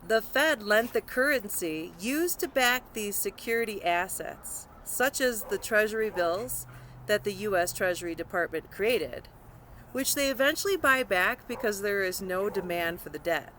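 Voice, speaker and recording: A woman's voice: mid-range voice, animated, normal speed, a bit bright, a little hiss or hum. A woman narrating a scripted nonfiction audiobook, reading aloud.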